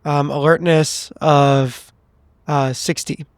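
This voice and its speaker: A man's voice: fairly high pitch, flat delivery, takes it slowly, dry recording. A man playing a tabletop game, improvising polite, tentative, conversational dialogue in character. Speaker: player.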